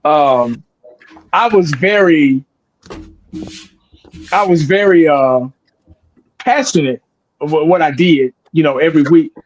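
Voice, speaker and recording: A man in his forties speaks in a drawl, his voice sitting a touch high for a man. He talks bluntly and profanely as a sports video creator, rambling and restarting his sentences in a loose, casual chat. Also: slow pace, pauses often, lo-fi sound. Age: 40s.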